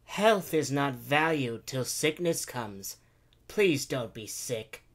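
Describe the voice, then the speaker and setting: A man voicing a calm, purring female cat conductress in a storybook reading. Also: on the high side, a little slow, pitched above the performer's own voice. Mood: soothing.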